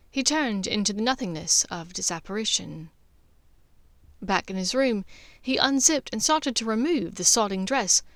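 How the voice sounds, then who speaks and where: A woman narrates a story from a script. Her voice sits at an average pitch, and the sound is dry, with little room in it. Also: fairly bright tone, no echo. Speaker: fan fiction author and narrator.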